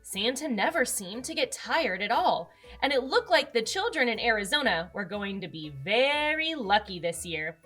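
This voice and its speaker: A woman with a fairly high, very lively voice narrates a children's storybook. She takes her time and leans on words for dramatic effect, with music underneath. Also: moderate pace, hardly any pauses. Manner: expressive, dramatic emphasis. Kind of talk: animated read-aloud narration for children.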